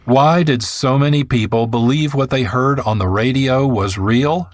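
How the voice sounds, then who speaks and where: A man's voice: medium pitch, unhurried, no room sound. A man reading scripted documentary narration as the narrator of a radio programme.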